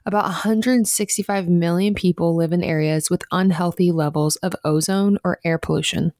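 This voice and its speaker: A woman's voice: lowish voice, fairly even intonation, moderate pace, a little mellow, no room sound, studio quality. A woman podcast host reading a scripted sponsor spot in a chatty, personal style.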